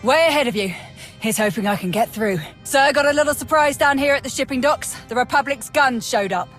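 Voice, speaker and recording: An actress playing a brisk, sardonic female military officer and pilot in a video game, informal and practical, over music. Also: high voice, fairly bright tone. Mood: wry, urgent. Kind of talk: video game cutscene acting.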